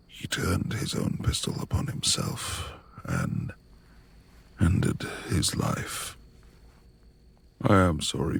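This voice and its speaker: A reclusive lord of the manor, acted by a man, speaks in an extremely low rumble thick with gravel. His hushed, husky delivery is formal and halting, a brooding figure with tenderness under the gruffness, made for intimate romance audio roleplay. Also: heavily acted.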